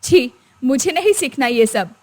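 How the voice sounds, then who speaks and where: A woman's voice: high voice, expressive, a little slow, darkish tone. A woman voicing a traditional older mother-in-law, stern and scolding but tender underneath.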